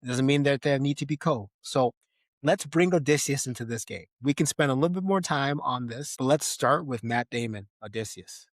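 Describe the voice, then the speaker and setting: A man's voice: medium pitch, normal speed, dry recording, studio quality. A man co-hosting a podcast, chatting casually and playfully about film in an informal way. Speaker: podcast host.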